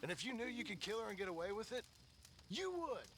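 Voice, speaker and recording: An actor playing a cranky, melodramatic old American cowboy uncle with a gravelly voice in a video game. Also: male voice, high-pitched, sing-song, fast, fairly bright tone, slight background noise, heavily acted. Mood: bitter, ranting.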